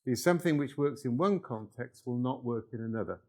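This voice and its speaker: A man's voice: medium pitch, animated, fairly quick, darkish tone. An older man from Wales giving a live workshop lecture, talking it through conversationally and checking in with the room. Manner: conversational, checks in with "yeah?" and "right?".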